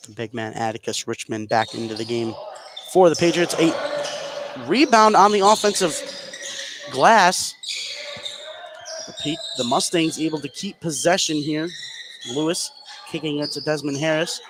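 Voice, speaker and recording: A man's voice: fairly high pitch, moderate pace, long pauses. An American man calling live basketball play-by-play for radio from a gym. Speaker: play-by-play commentator. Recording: noisy live gym broadcast.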